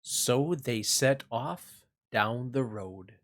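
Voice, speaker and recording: A man hosting a children's read-along, narrating a picture book expressively with deliberate, sing-song emphasis for young listeners. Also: mid-range voice, lively intonation, a bit bright.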